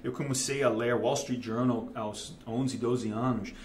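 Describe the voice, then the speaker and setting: Casting: Texan American man in his 40s, non-native Portuguese, halting delivery with lots of fillers, faint background hiss. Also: medium pitch, fairly even intonation. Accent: Texan-American speaking Portuguese. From United States, grew up in Dallas. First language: English. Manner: hesitant, many fillers and restarts. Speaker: guest, investment manager. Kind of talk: casual interview.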